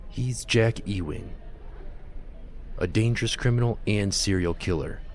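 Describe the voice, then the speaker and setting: Deep-voiced investigator, played by a man, official and measured, faint noise behind. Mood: matter-of-fact. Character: matter-of-fact male police investigator briefing a victim. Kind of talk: audio drama acting.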